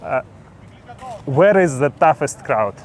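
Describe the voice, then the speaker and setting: A man asking casual interview questions as the host, in a somewhat halting way. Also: a little high, expressive.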